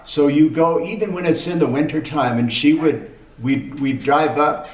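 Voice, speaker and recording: A man's voice: fairly high pitch, normal speed, slight background noise. Middle-aged man, dull echoey far-off sound. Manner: wry, hesitant, restarts often. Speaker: co-host. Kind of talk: casual chat. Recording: dull echoey room, mic at a distance.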